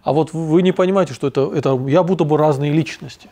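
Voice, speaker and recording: A man from North Ossetia hosting a conversational podcast, speaking thoughtfully with frequent pauses and fillers. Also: a little high, dark tone. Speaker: podcast host.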